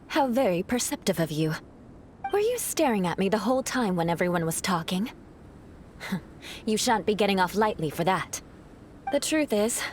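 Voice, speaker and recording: A woman's voice: medium pitch, a little hiss or hum, close to an everyday voice. A woman voicing a proud, reserved noble knight who speaks formally with dry humor, in a game cutscene.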